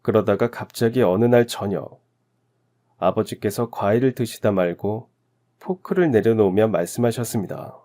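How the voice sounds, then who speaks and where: A man from Daegu tells a first-person story in a calm, reflective, confessional way. His voice sits a little low, with a soft, warm and mellow tone. The reading is steady and scripted. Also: moderate pace. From Daegu, South Korea. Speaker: story narrator.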